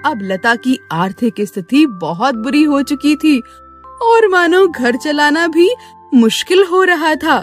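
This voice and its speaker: A woman narrating a scripted children's moral story in an expressive, sympathetic way. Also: sits high, slow pace. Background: music.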